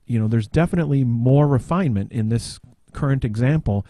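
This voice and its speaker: A man's voice: medium pitch, brisk, warm tone, dry recording. A man chatting casually on a podcast, hesitant and searching for his words. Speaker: podcast panelist. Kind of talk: casual chat.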